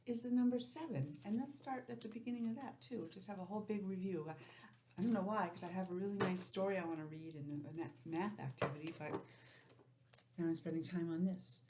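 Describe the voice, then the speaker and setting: A woman teacher giving an informal, encouraging lesson to young children, sounding out letters and counting deliberately. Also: fairly low pitch, noisy background. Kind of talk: children's lesson, read-aloud introduction.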